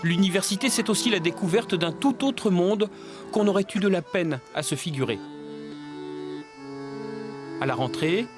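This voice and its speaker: A man narrates a television report in a measured, storytelling voice. His voice sits a little high for a man, and a clear music bed plays under the narration. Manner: measured, even storytelling delivery. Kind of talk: scripted TV narration. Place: voice-over booth.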